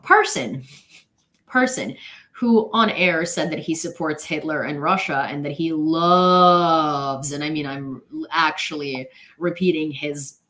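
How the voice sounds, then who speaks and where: A woman's voice: low-pitched, takes it slowly, a bit bright. A young woman whose first language is Ukrainian, giving blunt, opinionated news commentary on a casual livestream from her bedroom, with many fillers and restarts. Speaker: news vlogger. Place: small bedroom at home.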